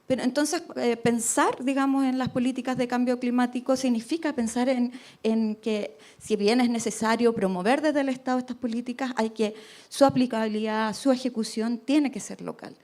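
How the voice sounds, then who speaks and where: A woman's voice: on the high side, fairly even intonation, normal speed, darkish tone. A woman researcher speaking on a radio panel, thoughtful, often adding tag questions and restarting phrases.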